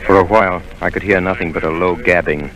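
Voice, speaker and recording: A man narrating a 1930s radio drama as the grown hero recalling his boyhood, in a measured storytelling manner. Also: deep voice, steady tone, low-quality recording. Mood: calm. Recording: old radio broadcast with music.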